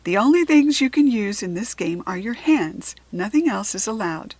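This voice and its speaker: A hearty male sports-day organizer from a children's storybook, put on by a woman. He projects loudly and stresses his words like an announcer, with a husky edge. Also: medium pitch, animated, moderate pace, dry recording. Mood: rallying, announcing. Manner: loud, emphatic announcer delivery. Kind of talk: children's storybook character reading.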